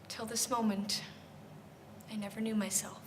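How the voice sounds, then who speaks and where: A woman playing a proud young Regency gentlewoman humbled by her own folly, in a formal, self-reproaching stage monologue. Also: fairly high pitch, lively intonation, unhurried. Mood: ashamed, indignant.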